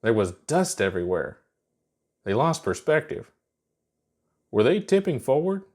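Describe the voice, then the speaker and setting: A man's voice: mid-range voice, sing-song, measured pace, a little mellow. A man narrating a scripted fiction story as its reader.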